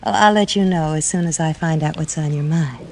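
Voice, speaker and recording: An actress playing a cool, coy American carnival dancer in old radio detective drama style, guarded and teasing. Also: female voice, low voice, brisk, slight background noise. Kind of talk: 1940s-50s radio detective acting. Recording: old radio recording, faint music.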